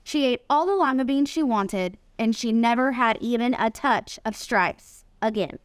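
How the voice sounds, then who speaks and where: High-voiced woman reading a storybook. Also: expressive, normal speed, clean tone, dry recording. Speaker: volunteer reader, nonprofit executive. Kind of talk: read-aloud of a children's storybook.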